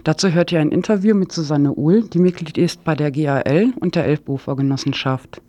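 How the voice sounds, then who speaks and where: A man presents a radio news report from a script. His voice sits on the high side for a man, with a dry sound and no room echo. He occasionally stumbles over words while reading. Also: a little fast, mellow, dull audio.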